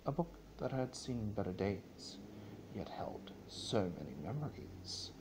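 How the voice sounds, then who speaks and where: A man narrating a story as a dramatic reading, in a solemn and somewhat halting way. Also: fairly low pitch, sing-song, background noise.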